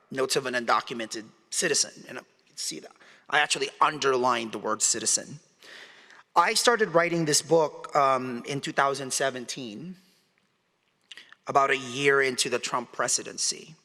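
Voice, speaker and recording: A man's voice: mid-range voice, bright, forceful tone. A man in his forties, born in the Philippines, giving a conversational public lecture and often asking the audience questions. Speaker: guest speaker. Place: large hall. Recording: long hall reverb.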